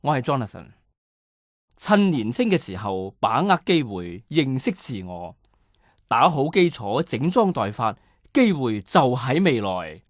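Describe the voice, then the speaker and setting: A man's voice: mid-range voice, very animated, unhurried, no room sound, clean studio sound. A man with a Hong Kong Cantonese accent reading scripted commercial narration as a voice-over artist.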